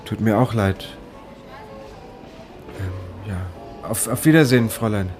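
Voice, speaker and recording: A man's voice: lowish voice, measured pace, darkish tone, lightly acted. A man playing a shy, awkward young bank robber in a radio play, speaking hesitantly with small stammers, music behind him. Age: young adult. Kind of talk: radio drama acting.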